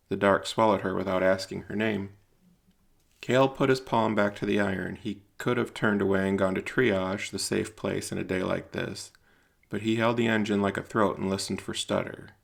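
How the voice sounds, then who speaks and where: A man narrating scripted dramatic fiction in a solemn, deliberate storytelling manner. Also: fairly low pitch, steady tone, vocal fry, fairly bright tone.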